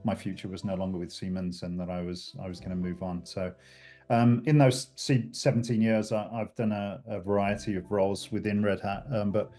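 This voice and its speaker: A man's voice: lowish voice, fairly even intonation, a little fast, warm tone, faint music behind. A middle-aged man from the UK with a British accent, talking reflectively as a podcast guest, with many fillers and restarts. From United Kingdom. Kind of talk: conversational interview.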